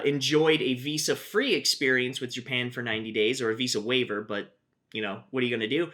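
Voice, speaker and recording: A man's voice: medium pitch, pushed and bright. A man hosting his own channel, chatting casually and wryly about travel news, with frequent "uh" fillers. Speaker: YouTube host.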